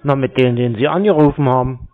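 A man's voice: medium pitch, fast. Sardonic man on a thin phone line. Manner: sardonic, confrontational. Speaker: call recipient confronting scam callers. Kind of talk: phone conversation, baiting cold callers. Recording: muffled, narrow phone-call sound.

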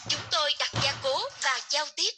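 A woman's voice: high-pitched, moderate pace, loud music behind the voice. A woman narrating a children's game in short, scripted, encouraging instructions. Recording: loud game noise over voice. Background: loud game sound effects and ambience.

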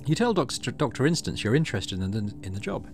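A man hosts a game playthrough with a very lively, swooping intonation. He moves between casual commentary and expressive read-aloud narration, over game music. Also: mid-range voice, fast. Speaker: gaming video host.

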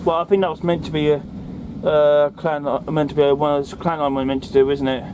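Man, chatty, tag questions, rather high voice, heavy train rumble, phone recording. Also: flat delivery, quick pace. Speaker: railway enthusiast filming the trip. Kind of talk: casual chat. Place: heritage train carriage, indoors. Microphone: handheld phone camera. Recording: loud train rumble behind.

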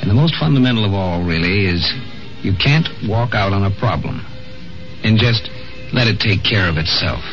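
A man hosts on a dull vintage radio recording, faint music behind him. His voice is fairly low as he delivers a 1950s-style commentary. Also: expressive, moderate pace, a bit bright. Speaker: radio series host.

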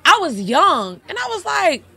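A woman chats on a podcast set, her high voice sitting close to a boom mic. She tells animated stories in a bright, lively tone. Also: measured pace, creaky. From Atlanta, USA. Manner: animated, storytelling. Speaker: guest actress. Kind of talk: casual podcast chat. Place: indoor studio set with couches.

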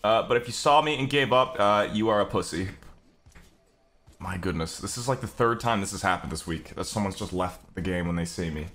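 A man's voice: low-pitched, brisk, a bit bright. A man giving casual gameplay commentary as a streamer, griping with exclamations and swearing. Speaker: video game streamer.

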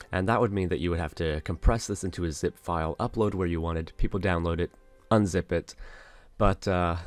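Deep, gravelly male tutorial host. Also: darkish tone, no room sound. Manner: instructional, step-by-step, informal. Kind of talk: casual software screen-share tutorial narration. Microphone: close mic, voice-over on a screen recording.

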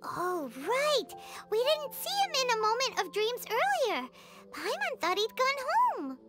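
A woman voicing a small, excitable, easily confused fairy companion in a video game, with a squeaky childlike voice. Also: a little slow, full character voice. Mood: urgent. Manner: exclaims, refers to self in third person. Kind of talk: animated game voice acting. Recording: loud background music.

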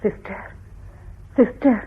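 A woman's voice: unhurried, natural voice. An actress in a radio drama playing a sorrowful, brooding woman who speaks in poetic, theatrical monologue. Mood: weary. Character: sorrowful woman, Dakshayani, brooding, bitterly wry, recalling her father. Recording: tinny phone-like old radio broadcast.

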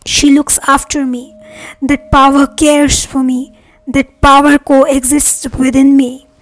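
A woman leading a guided meditation with affirmations, speaking earnestly in measured, separate phrases. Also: sits high, level tone, rough recording. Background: meditation music.

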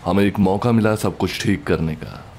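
Huge gentle monster friend, male fandub actor: very deep, earnest, measured, with noisy film ambience behind. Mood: concerned. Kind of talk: amateur Hindi film fandub acting. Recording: clear background noise with film ambience.

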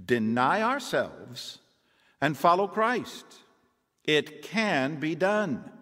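A man's voice: a little high, big pitch swings, very slow, fairly bright tone, in a large hall, full character voice. An actor playing an earnest, preachy reformed man turned missionary, telling his testimony in a radio drama. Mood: earnest, exhorting.

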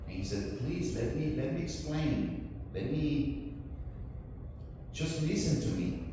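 A man's voice: a little high, animated. A Salvadoran missionary in his forties with a Spanish accent, telling his testimony in a church hall on a handheld mic. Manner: earnest, slow storytelling with light laughs. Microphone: handheld microphone picked up from afar. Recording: echoey hall, distant camera, noise.

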